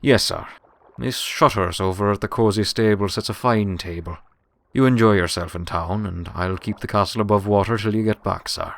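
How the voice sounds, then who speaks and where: A man's voice: fairly low pitch, normal speed, gravelly. A man voicing an elderly, plainspoken pony servant with a deferential, folksy manner, in a story reading. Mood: dutiful, obliging. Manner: folksy rustic phrasing, says sir deferentially.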